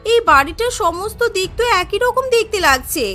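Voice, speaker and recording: A woman playing a high-pitched naive village girl. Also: animated, normal speed, bright, forceful tone, soft background music, heavily acted. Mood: frightened, pleading. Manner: exclaiming, guileless, plaintive. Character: naive, easily frightened young village woman.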